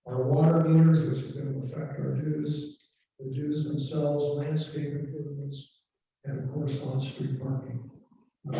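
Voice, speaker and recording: A man with a warm, mellow voice pitched a little high for a man makes a measured, earnest case with many filler words. He sounds far from the mic, in a very echoey room, over dull, muffled video-call audio. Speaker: board candidate. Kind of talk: campaign statement on a video call.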